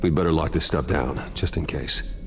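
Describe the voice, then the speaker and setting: An actor playing a calm, wry billionaire vigilante in video game dialogue, talking in an understated, dryly friendly way. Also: male voice, very deep voice, normal speed, a little hiss or hum. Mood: calm, warm. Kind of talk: video game dialogue, low-key conversation.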